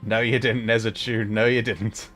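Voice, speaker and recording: A man's voice: on the low side, level tone, moderate pace, fairly bright tone, faint music behind. A man hosting a casual gaming livestream, chatting with a wry, self-deprecating manner. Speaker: livestreamer host.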